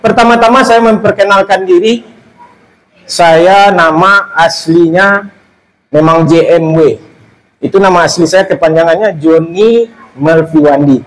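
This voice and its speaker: High-voiced man giving a rambling seminar talk. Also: a little slow, low-quality recording. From Solok, West Sumatra, Indonesia. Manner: loose, rambling delivery with many restarts. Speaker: guest speaker. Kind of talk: seminar talk to a live audience.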